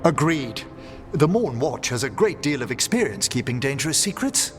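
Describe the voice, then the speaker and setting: A man's voice: medium pitch, very animated, moderate pace, a bit bright, faint music behind. A man voicing a formal, scholarly member of a secretive order in a fantasy video game. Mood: formal, regretful. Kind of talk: video game cutscene voice acting.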